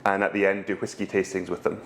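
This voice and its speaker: A Scottish man with a fairly low voice tells his story at an easy pace, pausing often with ums. A faint hum of background ambience sits under the recording. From Scotland. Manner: relaxed storytelling with frequent ums and pauses. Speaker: guest, whisky brand ambassador. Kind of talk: casual interview answers.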